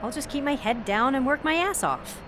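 Wry young student, lively higher voice. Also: female voice, normal speed, slight background noise. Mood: guarded. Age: young adult. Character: sheltered young college student daughter, wry, self-effacing, guarded. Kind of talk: naturalistic audio drama acting.

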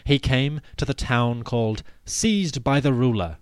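Animated male history narrator, dry sound. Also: medium pitch, measured pace, no echo. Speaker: podcast host and narrator. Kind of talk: scripted history narration and dramatic reading.

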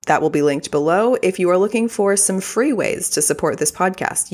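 Female podcast host, young adult, mild Texas lilt, low voice, effusive and chatty. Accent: mild Texan. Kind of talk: casual solo podcast talk.

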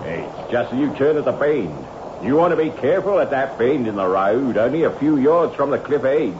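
A man's voice: mid-range voice, animated, moderate pace, lightly acted. An actor playing a rustic male petrol pump attendant with a folksy, foreboding way of talking in an old radio drama. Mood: ominous, warning. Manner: folksy country dialect, foreboding. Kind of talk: 1960s radio horror drama acting. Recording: noisy, dull old 1960s radio recording.

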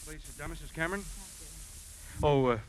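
An actor playing a polite but persistent police captain in 1950s radio drama style, matter-of-fact and brisk. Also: male voice, a little high, lightly acted. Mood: brisk, courteous. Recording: noisy 1950s radio broadcast recording.

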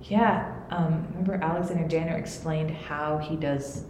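A woman's voice: sits low, moderate pace. A woman podcast writer on a panel, talking with enthusiasm. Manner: enthusiastic, animated. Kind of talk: panel discussion. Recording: echoey room, faint noise.

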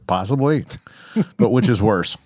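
A man's voice: fairly low pitch, unhurried, a little mellow, dry recording, clean studio sound. Man joking around on a podcast. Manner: joking, rambling with many restarts. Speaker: podcast co-host. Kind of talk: casual banter. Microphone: headphones and mic stand.

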